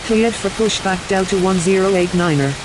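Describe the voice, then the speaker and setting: Woman pilot doing clipped radio calls, medium pitch, lots of hiss behind her. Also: moderate pace. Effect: aviation radio. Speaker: airline pilot on air traffic radio. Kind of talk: clipped radio readback phraseology. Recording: hissy, noisy radio transmission.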